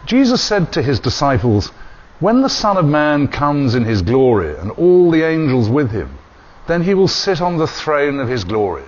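An older man, a priest, leading a church service and reading the gospel in a measured, solemn way in a small chapel, recorded from a distant camera. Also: fairly high pitch, sing-song. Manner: measured, solemn liturgical delivery. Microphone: far camera. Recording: dull lo-fi camera audio with faint hiss.

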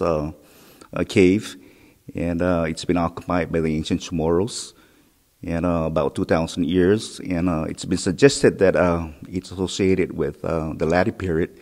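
Low-voiced middle-aged male guide, hesitant with lots of "uh", echoing cave. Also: steady tone, a little mellow. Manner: halting, many "uh" fillers. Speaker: historic preservation officer. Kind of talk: on-site interview. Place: inside a rock cave. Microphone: handheld mic held close. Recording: long cave reverb.

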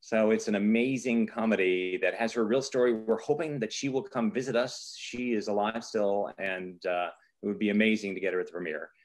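A man's voice: on the low side, steady tone, a little fast. A man hosting an informal live presentation on a video call as a theater director, speaking with effusive enthusiasm. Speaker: theater artistic director, host.

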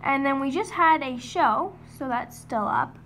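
A woman giving a casual guided tour of her model horse barn, listing each horse in a steady run of "and then". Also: sits high, a little slow, slight background noise. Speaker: model horse hobby vlogger. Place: indoors in a home room beside a toy horse barn.

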